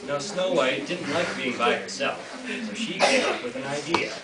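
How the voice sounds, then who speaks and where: A man's voice: on the high side, lively intonation, normal speed. A teenage boy narrating a fairy tale in a school reader's theater, playfully joking with the audience. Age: teens. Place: school classroom or hall. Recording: echoey room from far off, noisy.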